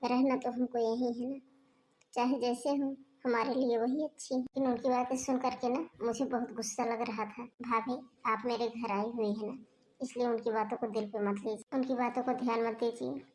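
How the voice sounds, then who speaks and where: A woman voicing a patient, soft-spoken young daughter-in-law in a homemade family skit, talking gently. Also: on the high side, flat delivery, normal speed, a little hiss or hum, natural voice, poor audio. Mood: gentle.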